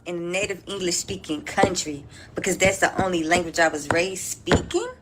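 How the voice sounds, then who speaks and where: A woman's voice: fairly low pitch, animated, moderate pace, heavy background noise. A woman speaking in a social media clip, making a frustrated, pointed complaint.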